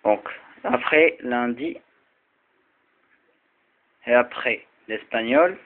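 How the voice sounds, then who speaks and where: A man's voice: mid-range voice, lively intonation, drawn-out words. A man whose first language is Arabic gives a casual explanatory talk for a video. He speaks hesitantly, with many restarts and fillers. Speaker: video presenter. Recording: tinny lo-fi phone-like sound with faint noise.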